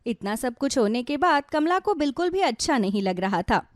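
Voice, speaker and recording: A woman's voice: high-pitched, sing-song, normal speed, dry recording, clean studio sound. A woman narrating a scripted moral story as its storyteller.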